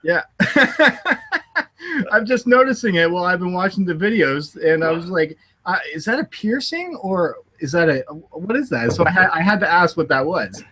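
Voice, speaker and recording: Man, Canadian accent, bright tone, video-call podcast host, laughs a lot, mumbles. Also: high voice, expressive, moderate pace. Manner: laughs often, mumbles and restarts words.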